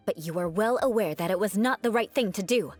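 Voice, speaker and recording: A woman voicing a proud young noblewoman knight in a video game, composed and defiant, with formal, measured, coolly disdainful delivery. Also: medium pitch, a little mellow, faint music behind, close to an everyday voice. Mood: firm reproach.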